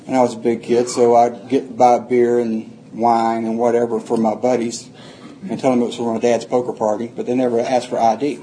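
A man's voice: medium pitch, flat delivery, brisk, mellow, slight background noise, poor audio. An older man, telling his life story plainly to a group, rambling and restarting often. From Atlanta, Georgia, USA. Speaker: recovery speaker.

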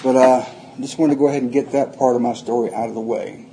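A man's voice: medium pitch, quick pace, vocal fry, warm tone, slight background noise, poor audio. An older man, telling his life story plainly to a group, rambling and restarting often. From Atlanta, Georgia, USA. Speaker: recovery speaker.

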